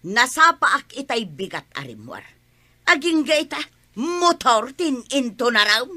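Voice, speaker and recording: An older village woman acted by a woman, obliging yet grumbly, in an Ilocano comedy drama. She has an Ilocano accent, talks slowly in a bright voice and her pitch rises and falls a lot. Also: big pitch swings, dry recording, full character voice. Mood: puzzled.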